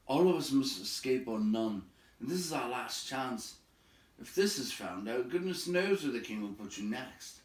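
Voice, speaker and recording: Man doing small bossy hobbit, anxious, urgent, raised pitch, storybook, roomy echo. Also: lightly acted. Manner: urgent, firm instructing. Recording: roomy echo, distant from the mic.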